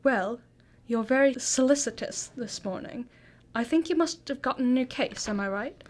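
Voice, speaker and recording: Woman acting as curious female detective's companion, slightly high voice, cheery, old-fashioned politeness, radio drama. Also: animated, normal speed, a little mellow. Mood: cheerful, curious.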